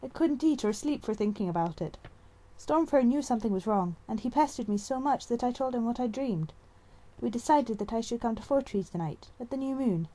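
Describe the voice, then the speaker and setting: A woman's voice: a little high, lively intonation, brisk, warm tone, voice pushed up, low-quality recording. A woman voicing an anxious, earnest young female cat in an audiobook, uncertain and flustered.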